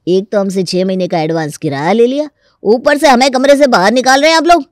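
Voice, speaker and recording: Actor as stubborn, crafty village tenant, dry recording. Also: male voice, very high-pitched, a little fast, no echo. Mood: indignant, defiant. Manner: folksy, mock-polite, argumentative. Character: stubborn, crafty young rural male tenant with cattle. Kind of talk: Hindi comic story dramatization.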